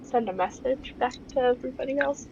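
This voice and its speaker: A woman's voice: high voice, a little fast, creaky, over a noisy crowd. A woman talking casually as a player in a tabletop role-playing game. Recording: dull, noisy home recording.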